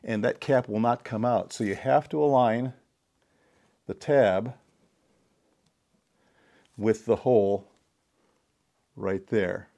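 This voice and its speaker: A middle-aged man giving a casual, deliberate, step-by-step hands-on repair tutorial. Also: lowish voice, vocal fry, mellow. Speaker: DIY repair demonstrator. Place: indoor home workshop.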